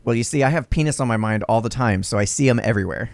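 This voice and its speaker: A man's voice: mid-range voice, fast, dry recording. A man in his thirties hosts a casual podcast, delivering jokes in a mock-serious tone and laughing often. His voice sits in an ordinary middle register. The sound is close and dry, from a stand mic in a small home studio. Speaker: podcast host. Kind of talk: joking podcast banter. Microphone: studio mic on a stand, wearing headphones.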